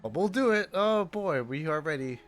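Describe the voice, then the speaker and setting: A man streaming casual game reaction commentary, haltingly repeating his phrases as he thinks aloud. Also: on the high side, lively intonation. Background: game music.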